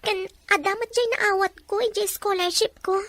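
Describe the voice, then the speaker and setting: A woman's voice: very high voice, fairly even intonation, moderate pace, fairly bright tone. A young woman playing an earnest, devoted daughter and working student in a melodrama. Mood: worried, pleading. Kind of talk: melodramatic drama acting.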